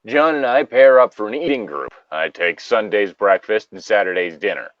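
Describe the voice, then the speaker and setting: A man's voice: lowish voice, animated, normal speed, no echo, dull audio. A man co-hosting a story reading, switching between narration and casual, joking asides. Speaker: co-host reading stories aloud.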